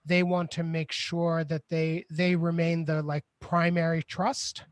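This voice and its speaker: Man with a higher voice, dry and close. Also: level tone, a little slow, dry recording. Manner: loose, filler-heavy "like", "you know", "right?". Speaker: librarian and co-host. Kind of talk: casual live-stream discussion. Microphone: video call.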